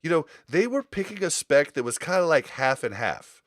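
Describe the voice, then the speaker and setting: Lively, opinionated man on a podcast. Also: on the high side, moderate pace, no echo, studio quality. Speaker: podcast host. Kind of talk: casual gaming podcast chat.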